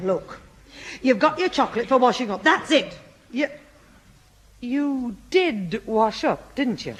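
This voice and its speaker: An actress playing a brisk, long-suffering mother with a husky voice in a 1960s radio sitcom. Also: on the high side, full character voice. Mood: exasperated. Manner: expressive, scolding, motherly.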